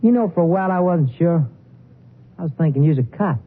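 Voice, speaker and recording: An American actor in a 1950s radio drama, playing a rough-spoken, protesting, suspicious small-time crook. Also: male voice, fairly high pitch, lively intonation, quick pace. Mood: suspicious, prying. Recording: noisy, tinny 1950s radio recording.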